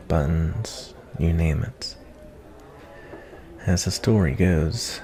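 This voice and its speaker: Casting: male actor for a kindly cowboy bounty hunter, very low and mellow, folksy and reflective, soft ASMR delivery for a fantasy tavern roleplay. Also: full character voice. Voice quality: low, gravelly. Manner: folksy, rambling, kindly drawl with cowboy turns of phrase. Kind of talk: ASMR roleplay, softly spoken to the listener.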